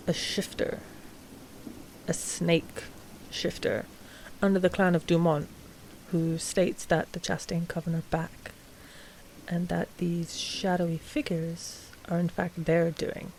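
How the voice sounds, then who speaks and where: Casting: actress as female vampire sire for audio roleplay. Courteous, flustered, formal, slightly low voice over background noise. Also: creaky, darkish tone, rough recording. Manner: formal, hesitant, halting. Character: frazzled female vampire coven sire, polite and hesitant. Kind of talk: scripted audio roleplay drama.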